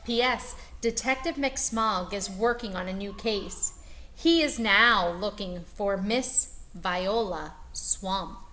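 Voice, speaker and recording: A woman reading aloud to young children as a teacher, expressively, pausing to explain and ask them questions. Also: mid-range voice, sharp tone, slight background noise, poor audio.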